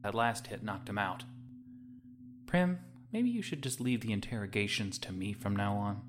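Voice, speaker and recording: A man's voice: lowish voice, lively intonation, normal speed, a little hiss or hum, natural voice. A man playing a wary, protective young hero in a sci-fi audio drama, casual and skeptical.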